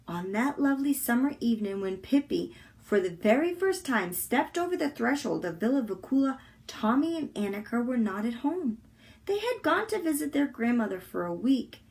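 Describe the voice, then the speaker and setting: A woman reading a children's book aloud as a volunteer, with expressive, deliberate storytelling. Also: fairly high pitch.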